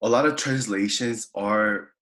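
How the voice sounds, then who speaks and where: A man's voice: fairly low pitch, measured pace, a bit bright. A man casually discussing a film with a friend, agreeable and tentative. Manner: agreeable, short backchannels. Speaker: co-host reviewing a film. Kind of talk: casual movie review chat. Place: car.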